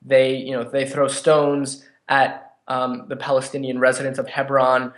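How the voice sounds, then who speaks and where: A man's voice: medium pitch, completely flat delivery, measured pace, fairly bright tone. A man giving interview commentary as a journalist, hesitant and restating phrases. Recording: echoey room.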